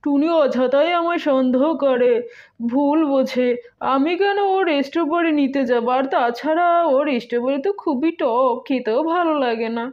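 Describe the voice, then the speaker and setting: A woman's voice: high voice, normal speed, natural voice. A woman voicing a sly, greedy fox uncle in a children's cartoon, teasing and evasive as he feigns innocence. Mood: defensive. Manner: teasing, evasive, self-justifying. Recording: dull lo-fi sound, faint music.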